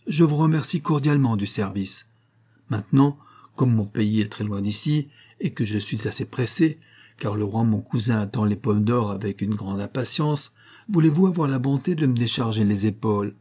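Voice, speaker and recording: A man's voice: lowish voice, moderate pace, mellow, slightly rough. A man voicing a strong, impatient male hero in a slightly raised, pressing voice. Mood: polite.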